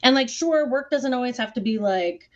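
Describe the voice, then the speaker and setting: A woman's voice: on the high side, lively intonation, moderate pace. A woman chatting casually and enthusiastically on a panel, in an informal way, over a video call. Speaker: theater artist on a panel. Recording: dull, lo-fi online call audio.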